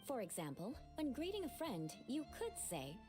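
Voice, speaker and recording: A woman voicing a proud aristocratic young woman knight in game dialogue, speaking in a formal, measured, lofty manner. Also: fairly high pitch, wildly swooping pitch, music in the background.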